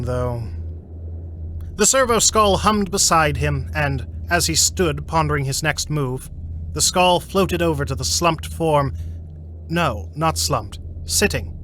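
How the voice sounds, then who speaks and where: Male narrator: forceful, unhurried, wry suspense for a sci-fi audio story, ambience behind. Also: a little high, slight background noise. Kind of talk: dramatic scripted story narration.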